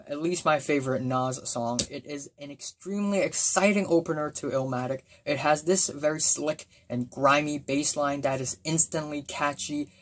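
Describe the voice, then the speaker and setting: Young man, raspy voice, excitable album reviewer. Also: fairly high pitch. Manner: excitable, many fillers, bursts of enthusiasm. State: raspy from talking a lot. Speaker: music reviewer. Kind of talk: casual spoken album review.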